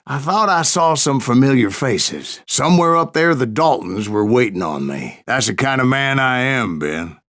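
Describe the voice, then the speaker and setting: An actor playing a gruff, stubborn old bounty hunter in a Western game, spinning salty tall tales in a gravelly American West drawl. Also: male voice, medium pitch, a bit bright. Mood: wry, stubborn. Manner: folksy, salty, cantankerous drawl. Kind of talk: storytelling narration, rambling saloon yarn.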